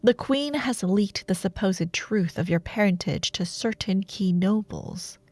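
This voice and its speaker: Woman performing a female dark warlord villain, ruthless and seductive, unhurried delivery, breathy murmurs, dry studio sound, fantasy ASMR drama. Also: mid-range voice, expressive, no echo. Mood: mocking, coolly scheming. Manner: slow, deliberate, menacing, silky, often dropping to breathy murmurs. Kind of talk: dramatic fantasy audio roleplay monologue, ASMR.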